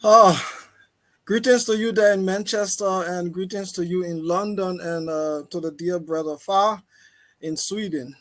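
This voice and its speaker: A high-voiced man with a Liberian accent speaks as a guest on a talk show. He introduces himself in deliberate phrases, leaning on repetition for emphasis. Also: steady tone, fairly bright tone. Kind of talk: interview answers, self-introduction.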